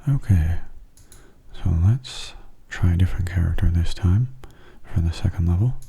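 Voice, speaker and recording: A man giving soft-spoken ASMR game commentary, breathy and often near a whisper, in a gentle, explanatory way. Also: sits low, moderate pace.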